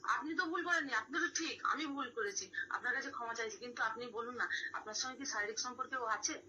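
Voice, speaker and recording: Bright-voiced, high-pitched pleading woman. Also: fast. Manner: pleading, insistent, repetitive. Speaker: caller confronting another woman. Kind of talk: emotional phone argument. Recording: noisy phone call recording.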